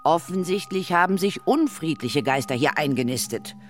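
Lively, brisk ghost hunter. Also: female voice, deep voice, faint music behind. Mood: matter-of-fact, wry. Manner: matter-of-fact, authoritative, a little wry. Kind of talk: children's audio drama acting.

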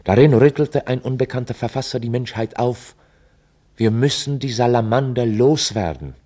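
An actor playing a formal chronicler, narrating a scripted radio drama in a documentary manner. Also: male voice, medium pitch, normal speed, mellow, lightly acted. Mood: urgent, exhorting. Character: formal chronicler recounting a history.

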